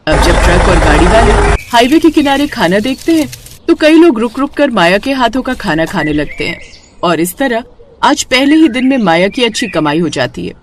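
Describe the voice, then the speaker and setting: A woman's voice: fairly high pitch, very animated, moderate pace, slight background noise. A woman storyteller reading scripted narration for a cartoon moral tale.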